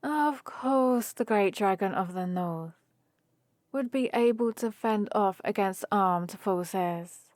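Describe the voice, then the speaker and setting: A woman's voice: mid-range voice, slow pace, darkish tone, dry recording, clean studio sound, natural voice. A woman playing a friendly, teasing human heroine in an ASMR roleplay, explaining things casually and patiently to the listener. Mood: amused, teasing. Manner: casual, playful, explanatory rambling. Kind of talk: ASMR roleplay, one-sided conversation with the listener.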